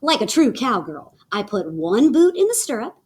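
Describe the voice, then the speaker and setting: A woman reading a children's picture book aloud in an animated, theatrical way with dramatic pauses. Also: on the high side, breathy edge. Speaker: read-aloud storyteller.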